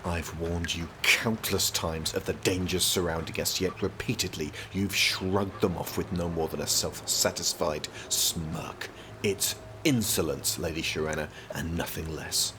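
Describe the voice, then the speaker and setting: A man's voice: sits low, background noise. A man playing a stern, protective prince in an audio drama, speaking in a formal, clipped, commanding way.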